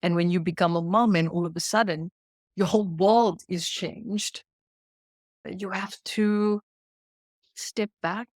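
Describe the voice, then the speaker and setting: A woman in her thirties with a South African accent talks as a podcast guest. Her voice sits a bit low, and she works through ideas slowly and earnestly, asking herself questions as she goes. The sound is dry and close, with no room echo. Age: 30s. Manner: earnest, searching, with repeated questions. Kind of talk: reflective interview talk.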